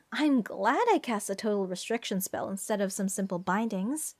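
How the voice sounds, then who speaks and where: A woman's voice: medium pitch, animated, normal speed, close to an everyday voice. A woman playing a giddy, unhinged mage who gushes over cursed monsters in a fantasy ASMR roleplay. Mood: gushing, fascinated. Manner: breathy gasps, gushing, rambling, sweetly menacing.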